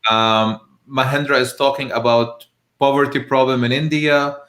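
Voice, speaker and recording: A man's voice: medium pitch, steady tone, normal speed, a bit bright, echoey room. A man from Lebanon hosting a live discussion, a university professor who talks earnestly and emphatically, sometimes searching for words.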